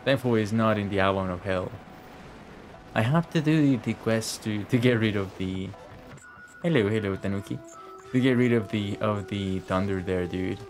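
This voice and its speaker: Male game streamer, lowish voice, casual and sweary, talking to himself over game music. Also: moderate pace. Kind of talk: casual live game commentary.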